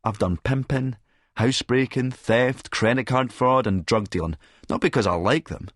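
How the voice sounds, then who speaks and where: A man's voice: lowish voice, no room sound, studio quality, close to an everyday voice. A man playing a cynical middle-aged Scottish schemer who narrates in a verbose, sardonic, lecturing manner. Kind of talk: first-person audiobook narration with dialogue.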